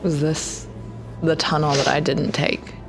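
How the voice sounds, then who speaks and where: A woman plays a tabletop roleplaying game in a casual, conversational way. Her voice sits low and breaks into fry. She slips between acting and describing, with faint music behind her. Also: flat delivery, unhurried, poor audio.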